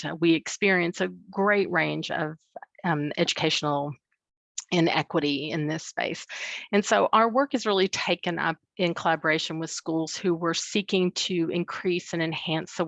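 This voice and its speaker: A woman researcher giving an academic presentation at a book launch, speaking in a measured way with many ums and uhs, over a video call. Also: deep voice, creaky, a bit bright, dry recording, lo-fi sound. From not from New Zealand. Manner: measured, with frequent um and uh fillers. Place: New Zealand, speaking remotely.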